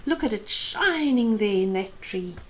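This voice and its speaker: A woman in her fifties reading a children's picture book aloud expressively, with deliberate phrasing for young listeners. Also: mid-range voice, expressive, a little hiss or hum. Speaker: storyteller host. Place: small room at home, indoors.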